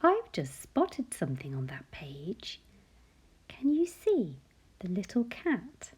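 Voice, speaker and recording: A woman reads a children's storybook aloud in a slow, breathy voice. She is expressive, moving widely in pitch, and speaks directly to young listeners with questioning asides. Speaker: teacher reading a picture book aloud.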